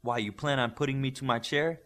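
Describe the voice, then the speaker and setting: A man's voice: medium pitch, fairly quick, a bit bright, voice pushed up, close to an everyday voice. A man voicing a restless, cocky young male superhero in audiobook dialogue, impatient and daring.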